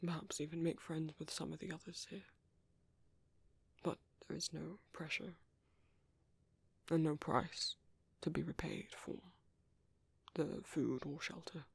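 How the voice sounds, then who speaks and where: Gentle, reserved vampire priest, acted out by an ASMR performer: British, softly breathy and halting, even-toned, gravelly at the edges. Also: medium pitch, dry recording, heavily acted. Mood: gentle, reassuring. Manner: soft, hesitant, breathy murmuring. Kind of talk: soft ASMR roleplay.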